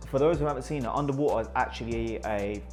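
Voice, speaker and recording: A man's voice: medium pitch, quick pace, darkish tone, soft background music. A man from Iraq with a London accent, a photographer talking enthusiastically about his pictures and often repeating words for emphasis.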